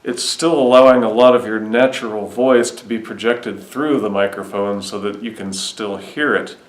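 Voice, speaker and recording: A man hosting a do-it-yourself tutorial, walking through the steps in a measured, matter-of-fact way. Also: fairly low pitch, fairly even intonation, echoey room. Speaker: DIY video host. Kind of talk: step-by-step tutorial narration.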